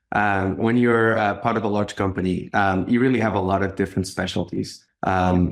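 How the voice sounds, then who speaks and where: Halting Portuguese-accented man, low voice. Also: vocal fry. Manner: halting, many ums and uhs, repeats words. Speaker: marketing executive guest. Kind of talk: interview answers.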